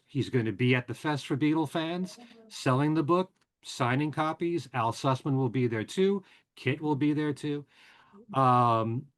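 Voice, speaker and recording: Chatty man hosting a podcast. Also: medium pitch. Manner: chatty, relaxed, with frequent "uh" fillers. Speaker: podcast and radio host. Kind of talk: casual show announcements.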